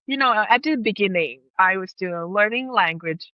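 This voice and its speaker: A woman's voice: medium pitch, lively intonation, moderate pace, dry recording, lo-fi sound. A woman from Thailand with a Thai accent, telling personal stories casually as an interview guest in her second language.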